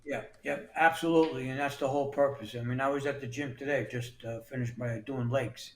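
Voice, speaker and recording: A man's voice: medium pitch, steady tone, fairly quick, roomy sound. A man in his sixties from New York City, talking as a podcast guest in a plain-spoken way that repeats words and restarts. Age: 60s. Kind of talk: casual interview talk.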